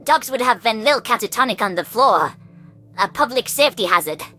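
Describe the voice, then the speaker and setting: A man's voice: very high-pitched, expressive, brisk, sharp tone, faint music behind, pitched above the performer's own voice, heavily acted. A man voicing a skittish, outspoken female alien creature in an audio story, speaking in a prim, emphatic way. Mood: anxious, emphatic.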